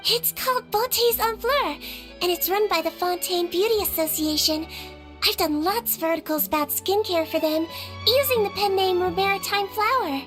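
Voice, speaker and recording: A woman voicing a small, gentle young girl nurse of a fairy-like species, polite and composed, in anime video game dialogue. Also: very high voice, moderate pace. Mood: friendly. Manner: composed, courteous, matter-of-fact. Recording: music bed behind the voice.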